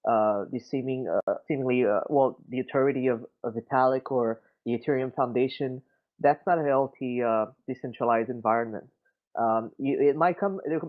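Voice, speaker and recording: A man from Quebec with a French accent, musing aloud in English, halting and thoughtful, often restarting his sentences. His mid-pitched voice comes through a narrow, tinny voice-chat connection. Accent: Québécois French. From Quebec City, Canada. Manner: hesitant, many fillers and restarts, thoughtful. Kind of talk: casual discussion.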